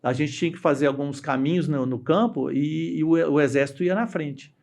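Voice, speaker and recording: Halting middle-aged Brazilian man telling stories. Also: a little high, gravelly, darkish tone. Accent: Brazilian Portuguese. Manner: halting, with many restarts and fillers; acts out quoted voices while telling stories. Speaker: interview guest, dam engineer. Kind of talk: casual storytelling interview.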